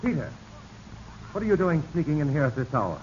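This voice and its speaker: An actor playing an alarmed, indignant businessman with an excitable delivery in a 1940s radio drama. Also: fairly high pitch, brisk. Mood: startled, worried. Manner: agitated, excitable. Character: alarmed, indignant businessman confronted by his cousin. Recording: dull, noisy 1940s radio recording.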